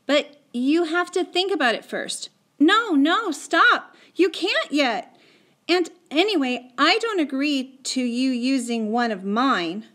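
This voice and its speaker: A woman's voice: on the high side, very animated, unhurried, a bit bright, pitched above the performer's own voice. A woman voicing an anxious, earnest young boy in an audiobook, with an urgent, insistent manner. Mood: worried, protesting. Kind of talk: acted audiobook dialogue.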